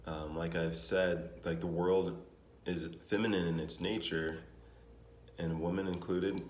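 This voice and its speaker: A young man with a very deep, low voice talks loosely to camera, circling back with fillers and restarts. The room is bare and echoey, and a faint hum of ambience sits behind him. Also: measured pace. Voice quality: naturally deep voice. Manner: relaxed, rambling, with many fillers and restarts. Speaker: vlogger. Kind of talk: casual vlog talk to camera. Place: home in Denver.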